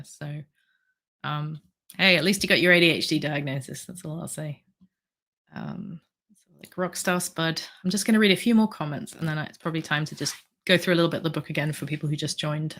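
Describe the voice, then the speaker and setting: A low-pitched woman from the UK with a slightly sore throat, hosting a relaxed livestream. She rambles warmly through viewer comments, full of ums and asides. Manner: chatty, rambling with fillers. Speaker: livestream host. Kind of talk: casual livestream chat reading comments.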